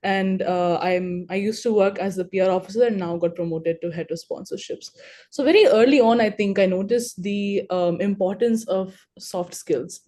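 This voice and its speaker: A woman's voice: medium pitch, normal speed, dark tone. A young woman from Hyderabad whose first language is Telugu, talking with chatty confidence as a panelist on a video call. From Hyderabad, India. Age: 20s. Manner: chatty and confident, rambling with fillers. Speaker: alumni panelist. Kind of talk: conversational talk on a video call.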